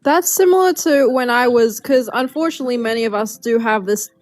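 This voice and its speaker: A woman's voice: on the high side, brisk, no echo. A woman chatting loosely on a live stream, laughing often and swearing freely. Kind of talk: casual group chat.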